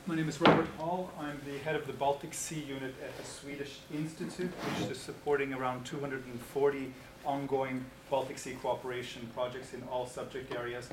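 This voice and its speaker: A man's voice: medium pitch, level tone, fairly quick, hardly any pauses. A man at a seminar introducing himself briefly and factually. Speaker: seminar participant. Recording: echoey room, loud noise.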